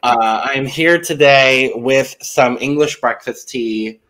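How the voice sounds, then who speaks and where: A man's voice: medium pitch, slow, a bit bright, rough recording. A man co-hosting a casual podcast, talking in a rambling, hesitant way with dry humor. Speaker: podcast co-host.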